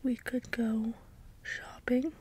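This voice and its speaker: A woman playing a gentle, puzzled caretaker robot in a soft, breathy ASMR role-play whisper, with halting, deliberate delivery. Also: fairly high pitch, flat delivery, a little hiss or hum. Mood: gentle, curious. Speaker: ASMR performer. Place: small dim indoor space with cloth backdrop. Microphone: close ASMR microphone.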